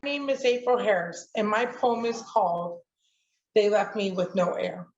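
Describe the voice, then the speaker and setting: A woman's voice: mid-range voice, moderate pace, darkish tone. A woman reciting her own poem in an emphatic, declamatory spoken word manner. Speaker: poet, showcase participant. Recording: roomy, dull lo-fi online audio.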